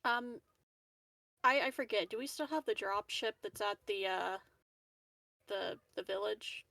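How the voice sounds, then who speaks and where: A woman's voice: medium pitch, unhurried, gravelly, a bit bright, dry recording. A woman playing a tabletop roleplaying game, chatting casually in a hesitant, tentative way.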